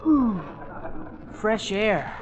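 A performer playing an eager, excitable, hapless boy office helper in 1940s radio style. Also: medium pitch, extremely slow, lo-fi sound, music in the background. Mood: excited.

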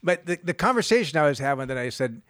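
A man's voice: fairly high pitch, big pitch swings, fast talker, a bit bright, dry recording, clean studio sound. Rambling middle-aged man hosting radio. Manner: rambling, restarts often, laughs easily. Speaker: radio show host, financial advisor. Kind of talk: casual radio talk.

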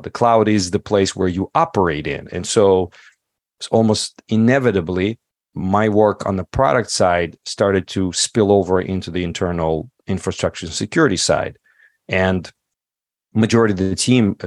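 A man's voice: deep voice, moderate pace, creaky, no echo, clean studio sound. A middle-aged man who is not a native English speaker, answering questions in a casual interview, thoughtful, with many fillers and restarts. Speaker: podcast guest.

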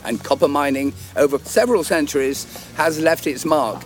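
A man co-hosting a walking podcast outdoors in a valley, hoarse from a summer cold, chatty and affirming. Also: on the high side, normal speed, soft background music. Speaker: podcast co-host.

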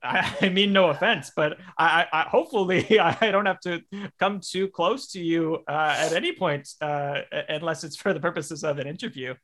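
Male podcast host, slightly high voice, hesitant, rambling, casual comedy interview. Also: normal speed, few pauses, fairly bright tone, slight background noise. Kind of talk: casual improvised comedy chat.

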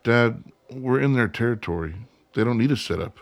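Actor as earnest son, dry close sound. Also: male voice, mid-range voice, expressive, normal speed, dry recording, lightly acted. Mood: insistent. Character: earnest, pragmatic grown son pushing back. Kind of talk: radio play dialogue.

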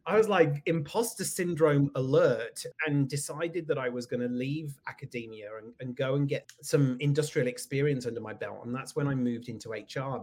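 A man's voice: medium pitch, darkish tone, breathy edge. A British man in his forties, a guest in a casual interview, speaking reflectively with self-deprecating humor and frequent ums. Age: 40s. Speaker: guest, executive coach.

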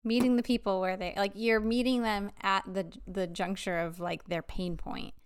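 Clear-voiced, chatty American woman. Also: mid-range voice, steady tone, normal speed. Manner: chatty, informal, frequent "like". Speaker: podcast co-host. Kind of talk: casual business podcast chat.

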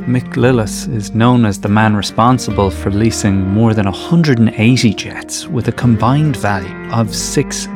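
An Irish man with a lowish voice reads a scripted podcast introduction, stressing the big facts with emphasis. Plucked guitar music plays clearly underneath him. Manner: emphatic, building up the guest. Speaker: podcast host. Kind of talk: scripted intro then interview.

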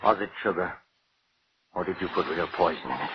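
An actor playing a frantic, suspicious husband in a 1940s radio drama, halting and repeating his demands. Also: deep voice, lightly acted. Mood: agitated, suspicious. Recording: muffled old radio broadcast, hiss.

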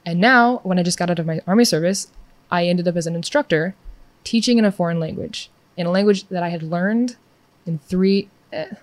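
A woman's voice: lowish voice, a little fast, no echo. A young adult woman in casual conversation, chatty and upbeat, peppering her talk with "like" and "yeah".

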